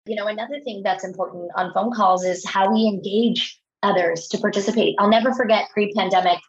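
A woman's voice: mid-range voice, fairly even intonation, brisk. A woman in her thirties, an author being interviewed, telling stories in an earnest, conversational way and often restarting her sentences. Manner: earnest, anecdotal, repeats words when starting. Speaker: author and guest. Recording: slightly dull, lo-fi audio.

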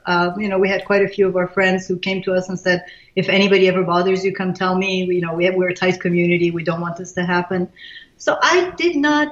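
A woman in her sixties from Iran, a guest in an interview, thoughtful and pausing with many fillers and restarts. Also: lowish voice, fairly even intonation, roomy sound, highs cut off. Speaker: guest, leadership expert. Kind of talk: casual interview.

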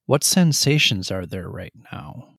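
A middle-aged man guiding a meditation, calmly and gently, in short, measured phrases. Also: medium pitch, gravelly, dry recording, studio quality. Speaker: meditation guide. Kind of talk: guided meditation narration.

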